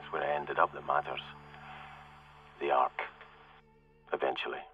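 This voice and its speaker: Very deep-voiced, weary security corporal. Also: male voice, level tone, highs cut off, a little hiss or hum. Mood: somber, reflective. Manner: halting, rueful, confiding, with pauses and self-corrections. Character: weary, cynical male security corporal, survivor of flooded world. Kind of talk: in-character audio diary monologue, dramatic game acting.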